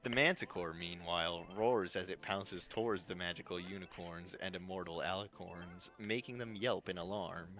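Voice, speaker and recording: Low-voiced man narrating a story. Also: moderate pace, hardly any pauses, a bit bright. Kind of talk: live scripted fan-story narration.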